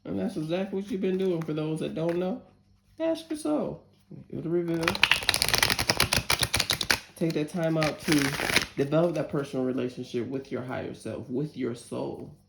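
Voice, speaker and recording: A woman in her forties with a deep voice giving a casual tarot reading and prayer, chatty and candid, cursing freely. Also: gravelly, background noise. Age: 40s. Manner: chatty, candid, curses freely and laughs often. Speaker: tarot reader. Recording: roomy echo.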